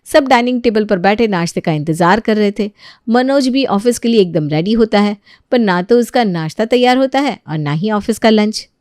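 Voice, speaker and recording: A woman's voice: mid-range voice, expressive, moderate pace, a little mellow, dry recording, clean studio sound. A woman telling a scripted household moral story as its narrator.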